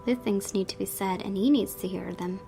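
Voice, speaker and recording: A woman's voice: medium pitch, brisk, vocal fry, dark tone. A woman hosting a gaming video, giving casual commentary and reading the story narration aloud. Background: game music.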